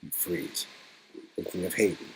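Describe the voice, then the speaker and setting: A man's voice: moderate pace, a little hiss or hum, rough recording. A man reading dramatic scripted narration from an interactive story game. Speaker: narrator and co-reader.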